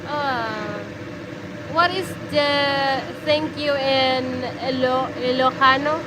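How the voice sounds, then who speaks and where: A Filipino woman with a Bisaya first language answers in short, hesitant bits of accented English. Her voice is high and her speech is slow. Steady traffic noise fills the background. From Philippines. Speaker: host's partner. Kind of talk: casual chat.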